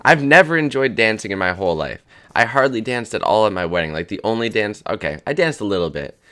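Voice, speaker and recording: A hoarse young man in his twenties rambling crudely and jokily as the host of a gaming channel. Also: fairly low pitch, lively intonation, quick pace, pushed and bright. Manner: rambling, crude, jokey, lots of "dude" and "man". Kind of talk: casual rambling commentary over gameplay.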